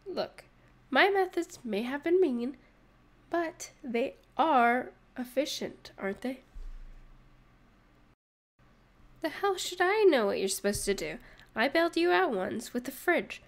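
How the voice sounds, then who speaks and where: A woman's voice: high-pitched, heavily acted. A woman playing a sarcastic narrator in a comedic audio roleplay, teasing and mock-sympathetic as she mocks a listener. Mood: smug teasing. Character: sarcastic, mocking narrator gleefully needling a hungover catgirl. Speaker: voice actress.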